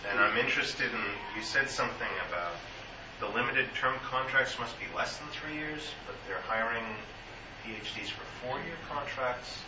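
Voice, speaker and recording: A man's voice: mid-range voice, moderate pace. A man in the audience asking a detailed question at a meeting, in a wry manner. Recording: distant in an echoey room, noisy lo-fi.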